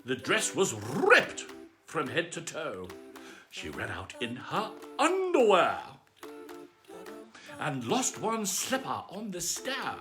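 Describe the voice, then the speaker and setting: A man reading a children's bedtime story aloud in a theatrical, playful manner with drawn-out, dramatic phrasing. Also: fairly high pitch, huge pitch swings, fairly bright tone, faint music behind.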